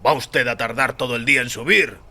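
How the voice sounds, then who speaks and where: A man voicing a gruff, bullying bus driver in an audio story, barking with impatience and sarcasm. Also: mid-range voice, fairly quick, pushed and bright, pitched above the performer's own voice. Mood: impatient, bullying.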